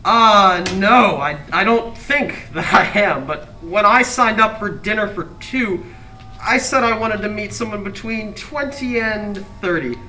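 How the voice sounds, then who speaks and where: A woman's voice: medium pitch, measured pace, bright, forceful tone. A woman playing a nervous, blunt young person on a blind date, speaking with hesitant fillers on a stage. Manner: hesitant, prying. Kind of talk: school stage play acting. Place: theatre stage. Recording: distant mic with faint music.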